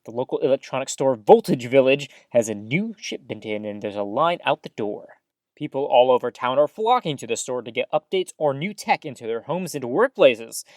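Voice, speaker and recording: Woman acting low voiced small town radio host, folksy n upbeat, chatty news patter, lively pitch, audio drama. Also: dry recording. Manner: folksy mild exclamations, theatrical news patter.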